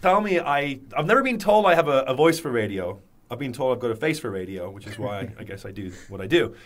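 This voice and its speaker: Guy presenter joking around in a radio studio, lively up and down voice. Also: medium pitch, fast. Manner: animated, jokey, self-deprecating. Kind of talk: excited studio chat.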